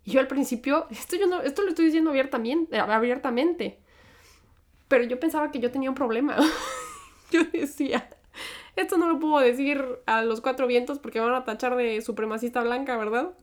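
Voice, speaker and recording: A woman's voice: sits high, a little fast. Candid young Mexican woman vlogging. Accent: Mexican Spanish. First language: Spanish. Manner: candid, conversational, frequent fillers and restarts. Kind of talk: reflective personal monologue.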